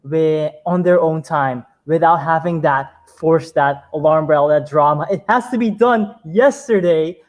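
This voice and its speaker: A man's voice: on the high side, unhurried, few pauses. A man hosting a podcast, chatting enthusiastically with frequent affirmations and filler words. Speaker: podcast host. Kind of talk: casual interview chat.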